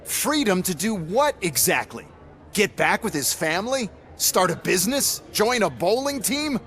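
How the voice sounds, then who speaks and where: A smug, sarcastic corporate executive. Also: male voice, high voice, big pitch swings, measured pace, fairly bright tone, exaggerated voice. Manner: dismissive, sarcastic, salesman-like.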